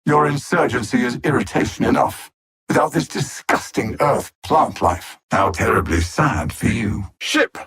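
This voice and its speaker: An actor playing a cold, arrogant alien android supervillain in a video game, speaking precisely with pompous, condescending menace. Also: male voice, fairly low pitch, creaky, a bit bright, husky, full character voice. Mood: smug. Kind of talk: scripted video game villain dialogue.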